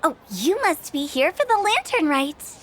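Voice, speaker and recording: A woman's voice: very high voice, very animated, unhurried, very noisy, full character voice. A woman voicing a playful, mischievous young funeral parlor director in a game, teasing and theatrical. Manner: teasing, theatrical, sing-song. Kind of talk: game cutscene voice acting.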